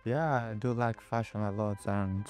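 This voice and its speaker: A man's voice: lowish voice, steady tone, measured pace, a little mellow, clear, resonant tone, no echo, faint music behind. A young man with a Kenyan accent, answering interview questions in a hesitant way with many fillers. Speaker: footballer guest.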